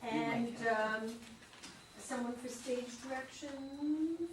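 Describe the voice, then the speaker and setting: A woman's voice: fairly high pitch, drawn-out words. A woman organizing a play reading and assigning roles to readers, speaking hesitantly with many fillers. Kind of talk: casual casting of readers. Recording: echoey room, distant mic, noise.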